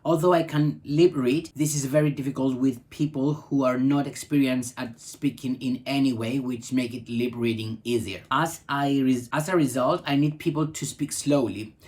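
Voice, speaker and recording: Man, 40s, home video host reading aloud with stumbles and restarts, close laptop mic. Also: mid-range voice. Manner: reads aloud with frequent restarts and self-corrections. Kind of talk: reading out benefit form guidance. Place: living room at home, indoors.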